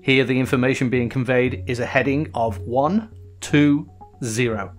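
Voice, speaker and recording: A middle-aged man hosting a diving lesson, giving calm, scripted voice-over instructions and acting out signals as quoted lines. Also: medium pitch, moderate pace, faint music behind. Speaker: host.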